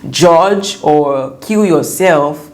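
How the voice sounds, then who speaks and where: A young woman with a Nigerian accent and a slightly croaky voice, giving chatty, emphatic advice in a vlog. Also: sits low, very slow. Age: young adult. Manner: emphatic, repeats words for stress. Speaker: vlogger sharing first-time mom advice.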